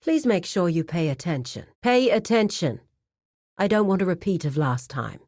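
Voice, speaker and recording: A crime boss's right-hand lady in a video game, formal n businesslike, composed, pitch moves around a lot. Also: expressive, moderate pace, darkish tone. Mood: businesslike, firm. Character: composed, dutiful female lieutenant of a crime boss. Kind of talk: video game character voice acting.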